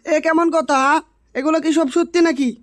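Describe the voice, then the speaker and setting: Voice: sits high, fairly even intonation, fairly quick, fairly bright tone, no echo, clean studio sound. A performer voicing a stern, bossy male village headman, authoritative and threatening, in a comic Bengali drama style.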